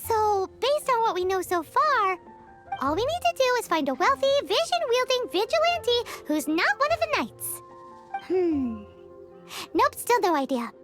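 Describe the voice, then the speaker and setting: Super high squeaky little game fairy, bouncy and cheeky, music behind her. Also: big pitch swings, measured pace, full character voice. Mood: pondering, chirpy. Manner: exclamatory, eager, blunt. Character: tiny excitable childlike fairy companion, female, chirpy, cheeky. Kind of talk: video game voice acting.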